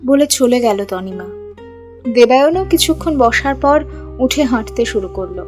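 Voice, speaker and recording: A woman's voice: a little high, expressive, measured pace, a little mellow. A woman reading scripted narration for an audio love story. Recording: music bed behind the voice.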